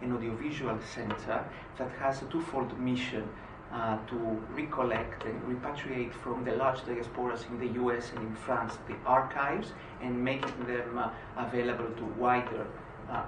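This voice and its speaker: A man from Greece giving a conference presentation, pausing often with "uh" between phrases. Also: mid-range voice, fairly even intonation. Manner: frequent "uh" hesitations. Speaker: conference speaker. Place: conference hall. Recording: echoey hall, distant mic, noisy.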